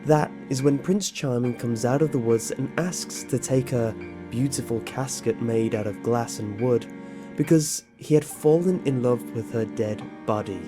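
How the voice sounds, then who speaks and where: A narrator giving a steady scripted read of a countdown of dark story origins, played from a video. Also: medium pitch, moderate pace. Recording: narration with music bed.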